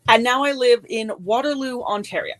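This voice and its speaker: A woman's voice: a little high, normal speed, a bit bright, low-quality recording. A middle-aged woman born in Germany, chatting as a guest author and laughing often. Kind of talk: casual chat.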